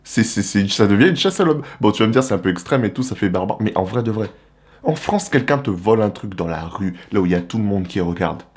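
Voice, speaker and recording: A young man from the Republic of the Congo giving a casual, animated, slangy monologue to the camera as a vlogger. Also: lowish voice, fast talker. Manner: animated, slangy, rhetorical questions.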